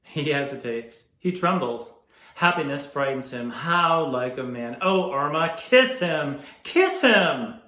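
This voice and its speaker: A man's voice: medium pitch, fairly bright tone, natural voice. A man playing an eccentric, romantic madwoman of Paris, urgent and commanding in a theatrical monologue. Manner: theatrical, insistent. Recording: echoey room.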